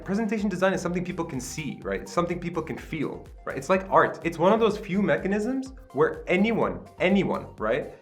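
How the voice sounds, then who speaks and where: A man's voice: fairly high pitch, fairly bright tone. A man in his late twenties from Qatar hosts his own channel. He talks to the camera in a casual, upbeat, self-deprecating way and often tags sentences with "right?", on mediocre home-recorded sound. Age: late 20s. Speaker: channel host. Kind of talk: casual vlog talk to camera. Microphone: camera with self-admitted poor sound.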